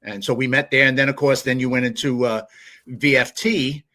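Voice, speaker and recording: A man with a New York accent hosts a relaxed interview. His voice is bright and forceful, and he talks in a loose, friendly way, padding his questions with fillers. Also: mid-range voice. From New York, USA. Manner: friendly, chatty, full of fillers and quick laughs. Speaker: interviewer, host. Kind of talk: casual interview chat.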